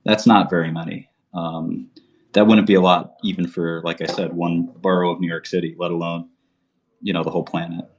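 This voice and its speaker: A man's voice: low-pitched, little pitch movement, brisk. A man from Albania talking casually on a livestream, rambling with many fillers and restarts. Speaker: podcast host.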